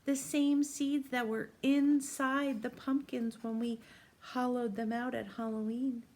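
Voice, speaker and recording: A woman doing an interactive read-aloud for young children, gently asking questions and pausing for answers. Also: fairly high pitch. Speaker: teacher reading a picture book to young children. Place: small room at home, indoors.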